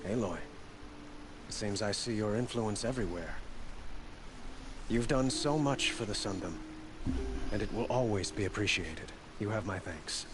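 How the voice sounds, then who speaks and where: A man's voice: on the low side, animated. An actor playing a benevolent, stately king in a video game, speaking formally. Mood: grateful. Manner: formal, measured phrases. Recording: distant game audio under music. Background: music.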